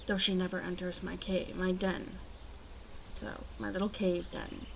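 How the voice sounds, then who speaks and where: Noticeable ambient noise sits behind a female werewolf, acted by a woman in an ASMR roleplay. She speaks slowly and softly with a gravelly creak, often dipping into whispers. Her manner is protective and gruffly bossy. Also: on the low side, flat delivery. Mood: stern, protective. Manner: slow, murmuring, often drops into whispers. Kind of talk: ASMR roleplay, close and soft-spoken. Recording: clearly audible ambient background noise.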